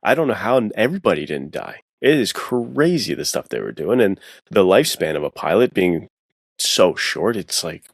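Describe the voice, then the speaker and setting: A man's voice: sits low, very animated, normal speed, vocal fry, breathy edge, no room sound, clean studio sound. A man, an author on a podcast, chatting casually in an enthusiastic, slangy, self-deprecating way. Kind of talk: casual chat.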